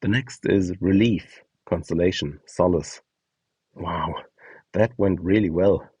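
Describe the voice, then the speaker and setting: A man's voice: deep voice, slow, darkish tone, slightly rough, no room sound. A man reading scripted instructions and short scene prompts as the narrator of a guide.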